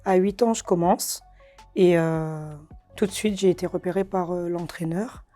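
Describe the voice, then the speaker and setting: A woman speaks in a lowish, mellow voice. She answers casually, pausing often on fillers and laughing softly. Faint music plays behind her. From Réunion. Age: 30s. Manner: hesitant, many fillers, soft laughs. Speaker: karate champion guest.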